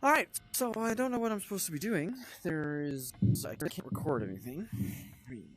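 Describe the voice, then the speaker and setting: A man's voice: a little high, expressive, moderate pace, a bit bright. A man giving casual, improvised gameplay commentary, sarcastic and prone to swearing. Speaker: gamer and reviewer. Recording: noisy gameplay capture.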